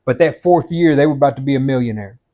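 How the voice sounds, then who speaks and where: A man's voice: mid-range voice, fast talker, mellow. A man in his fifties giving blunt, motivational life advice on a podcast. He repeats his points for emphasis and keeps calling the listener "man" and "dude". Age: 50s.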